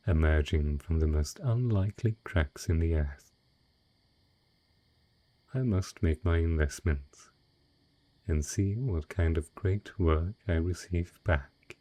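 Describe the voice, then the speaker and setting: A very deep, dark male voice, breathy and close, a man acting out an ancient dragon disguised as a rich patron. He draws out each formal phrase slowly and seductively, possessive yet courtly, in an intimate romantic audio drama. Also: dry recording. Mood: measured, admiring. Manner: slow, deliberate, formal, velvety seductive phrasing.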